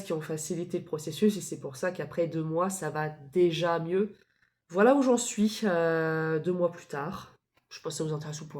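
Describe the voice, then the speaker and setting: A woman in her thirties chats to her viewers in a relaxed, candid way. Her voice sits a little low for a woman, and she fills her sentences with hesitations and soft laughter. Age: 30s. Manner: chatty and candid, with frequent fillers and soft laughs. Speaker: vlogger. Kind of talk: casual confiding vlog chat.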